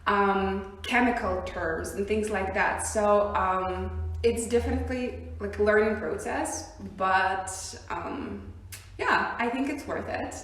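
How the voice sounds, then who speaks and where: A woman's voice: medium pitch, slow pace, a bit bright. A young woman from Siberia with a Russian accent, chatting as a podcast guest, hesitant with many ums and frequent laughs. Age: young adult. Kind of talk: casual interview chat. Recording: very echoey room.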